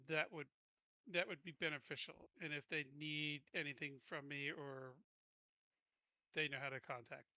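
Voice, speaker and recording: A man takes part in a tabletop roleplaying game with casual, halting speech. His voice sits fairly high and has a bright edge. The sound is close and dry. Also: no echo, lo-fi sound.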